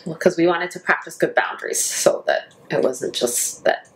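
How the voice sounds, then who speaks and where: A woman's voice: fairly low pitch, normal speed, fairly bright tone, a little hiss or hum, rough recording. A woman who works as a campus minister, grew up in Canada, talking casually about her life and friendship in an interview.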